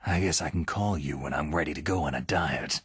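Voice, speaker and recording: Very husky, low-voiced gruff soldier. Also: male voice, a little fast, a bit bright, no room sound, heavily acted. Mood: dryly sardonic. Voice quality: gravelly, husky. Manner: terse, dry, questioning. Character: gruff, sardonic veteran male special forces soldier. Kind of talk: video game cutscene acting.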